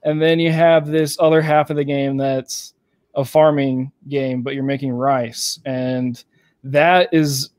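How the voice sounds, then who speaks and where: A man from Texas co-hosting a casual game podcast, talking through AirPods in a relaxed way full of "like". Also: medium pitch, slow pace, dry recording, clean studio sound. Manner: relaxed, filler-heavy with lots of "like". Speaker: podcast co-host. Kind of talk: casual chat.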